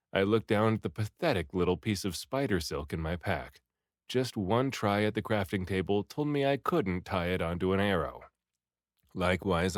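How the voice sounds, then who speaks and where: A man reading a story aloud in an expressive, theatrical way, acting out the dialogue. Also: low-pitched, no echo, studio quality. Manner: animated, theatrical delivery with acted dialogue. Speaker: audiobook reader.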